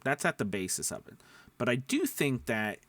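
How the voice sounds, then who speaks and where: A man's voice: medium pitch, huge pitch swings, moderate pace, a bit bright, no echo. A man talking informally as a voice-over coach, explaining step by step, repeating points and checking in with listeners. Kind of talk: informal instructional talk to camera.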